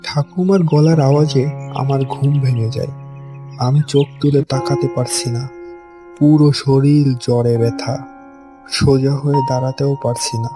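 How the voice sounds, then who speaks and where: A man's voice: mid-range voice, fairly even intonation, moderate pace, music in the background, lightly acted. A man voicing an anxious, bewildered young grandson who narrates and acts in a horror cartoon with dramatic, hesitant delivery. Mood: uneasy. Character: frightened, bewildered young grandson telling his ghost story. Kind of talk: first-person horror cartoon narration and dialogue.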